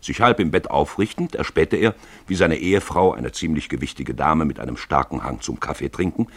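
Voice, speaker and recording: A man giving a deliberate, theatrical literary narration for a radio play. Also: extremely low pitch, no echo.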